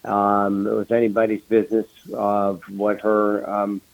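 An older American man chatting casually as a guest, with a halting, hesitant delivery. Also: on the low side, monotone, vocal fry, rough recording. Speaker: guest, former mayor. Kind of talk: casual chat.

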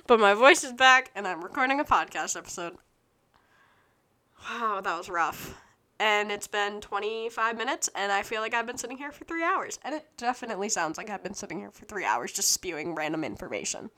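A young woman in her early twenties with a bright, clear tone, talking alone into her podcast mic. She rambles and keeps restarting her sentences, loose and chatty. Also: medium pitch, lively intonation, fairly quick. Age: early 20s. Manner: scattered, self-interrupting, chatty. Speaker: podcast host. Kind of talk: rambling solo podcast chat.

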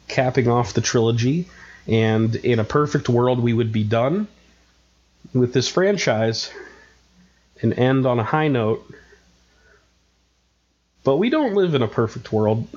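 A man's voice: medium pitch, darkish tone, husky, lo-fi sound. A man with a head cold and a nasal, stuffed-up voice, co-hosting a podcast in casual, rambling chat full of fillers. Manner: rambling, self-mocking, many fillers. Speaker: podcast co-host.

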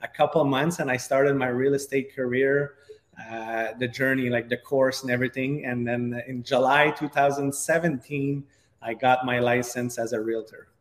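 Middle-aged male podcast guest, Quebec French accent, halting conversational delivery, heavily echoing room. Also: mid-range voice, steady tone. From Quebec, Canada. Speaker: podcast guest, real estate agent. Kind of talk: conversational interview storytelling. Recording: echoey, reverberant room.

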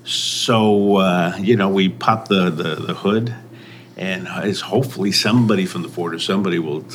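Older guy from mexico, deep voice, lots of uh and restarts, trails off quiet. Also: a little hiss or hum. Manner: halting, many pauses and restarts, soft trailing phrases. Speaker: restaurant owner. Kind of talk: casual interview.

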